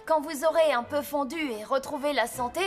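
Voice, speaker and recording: A woman's voice: high voice, brisk, lightly acted. A woman playing an earnest, clever young boy in a French children's cartoon. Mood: confident. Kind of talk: French animated cartoon dub. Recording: loud music behind the voice.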